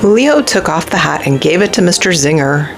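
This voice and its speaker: A woman reading a children's story aloud in expressive narration. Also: lowish voice, normal speed, faint music behind. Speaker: storybook reader.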